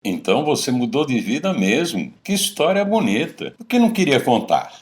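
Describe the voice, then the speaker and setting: A man plays a blunt, probing old friend in a Brazilian radio play. His intonation is extremely animated, leaping widely up and down. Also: medium pitch, moderate pace, close to an everyday voice. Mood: incredulous, sympathetic. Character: frank, probing male old friend, moved by confession. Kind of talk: radio drama dialogue.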